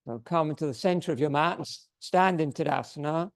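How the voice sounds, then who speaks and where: A man teaching a yoga class, giving calm step-by-step posture and breathing cues while he is losing his voice. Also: a little high, a little fast. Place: yoga studio.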